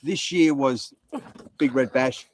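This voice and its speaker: A man's voice: medium pitch, slow pace, no echo. An Australian man, a guest on a live stream, chatting in a blunt, laid-back way. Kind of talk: casual chat.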